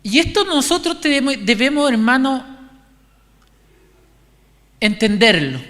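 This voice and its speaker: A man with a Chilean accent giving an informal, conversational sermon, haltingly repeating and restarting his phrases. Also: extremely high pitch, very animated, fairly bright tone. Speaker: preacher. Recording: echoey hall, long reverb.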